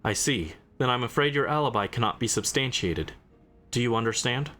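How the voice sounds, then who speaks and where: A man's voice: medium pitch, lively intonation, pushed and bright, slight background noise. A man voicing a stern, solemn judge presiding over a trial in a video game. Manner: solemn, formal, measured.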